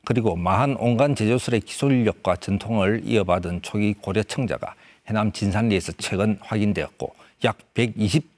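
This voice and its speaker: A middle-aged archaeologist on a studio panel, delivering prepared remarks in a steady, formal way. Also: male voice, lowish voice, fairly quick, few pauses.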